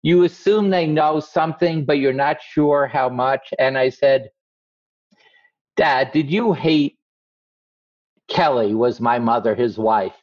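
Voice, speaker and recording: A man's voice: medium pitch, slow, pauses often, dry recording. Wry, blunt man telling stories. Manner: wry, blunt, acts out quoted lines. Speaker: guest author. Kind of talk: candid conversational storytelling. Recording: slightly muffled dry recording.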